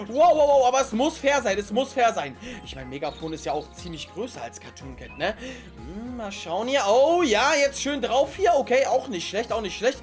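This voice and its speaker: A gaming video host giving excited gameplay commentary, exclaiming often and talking directly to the viewers. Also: mid-range voice.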